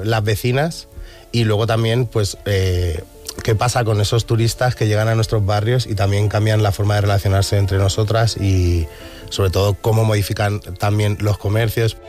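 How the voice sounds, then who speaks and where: A man with a Madrid accent giving spontaneous testimony, pausing often to gather his thoughts. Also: lowish voice, darkish tone. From Madrid, Spain. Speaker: resident, interviewee.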